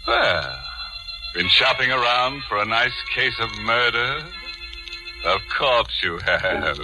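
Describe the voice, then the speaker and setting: An actor playing a macabre horror show host, sardonic and mock-friendly, in 1940s radio style. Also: male voice, on the low side, poor audio. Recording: 1940s radio recording with loud music.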